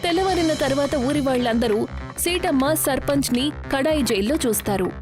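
Casting: female storybook narrator, fairly high voice, scripted telling over clear music. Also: moderate pace.

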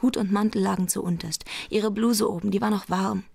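An actress playing a private detective's shrewd, teasing secretary in a radio crime play, knowing and frank. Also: female voice, mid-range voice, fairly even intonation, fairly quick, creaky, a little mellow, husky, dry recording. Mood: suspicious, knowing.

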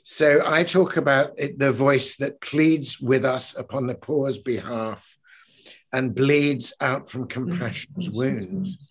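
A man's voice: mid-range voice, slow pace, husky. An older man, a poet, talking earnestly with many restarts and then reading his own poem aloud with swelling emphasis, on a video call from a living room at home.

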